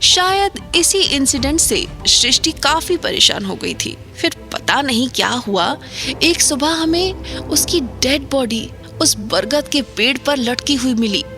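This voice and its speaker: A playful young male student teases his classmate in a chatty voice, as a woman acts him out. He sits high in pitch. Music runs under the scene. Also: lively intonation, slow pace, sharp tone, exaggerated voice. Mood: storytelling. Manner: teasing, chatty storyteller. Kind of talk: Hindi audio drama acting.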